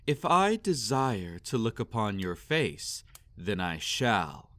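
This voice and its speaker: A man playing a sadistic, possessive vampire master in a roleplay audio, speaking formally and condescendingly with silky menace and drawn-out commands. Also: lowish voice, sing-song, a bit bright, no room sound, heavily acted. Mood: possessive, admiring. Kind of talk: roleplay audio acting, intimate and theatrical.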